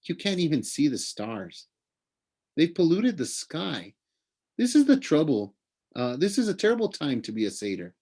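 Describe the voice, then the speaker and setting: A man's voice: on the high side, huge pitch swings, normal speed, a little mellow, husky, pitched above the performer's own voice, heavily acted. Man voicing an earnest, plaintive young satyr. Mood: indignant. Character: earnest, indignant young male satyr searcher, nature-loving.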